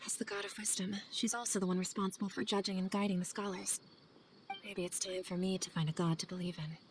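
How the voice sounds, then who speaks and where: A woman's voice: mid-range voice, level tone, fast talker, lightly acted. An actress voicing a weary, anxious female scholar in video game dialogue, earnest, hesitant and confessional in manner. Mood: hesitant, penitent. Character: guilt-ridden female scholar, weary, anxious assistant to sage. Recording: game audio over clear ambient noise.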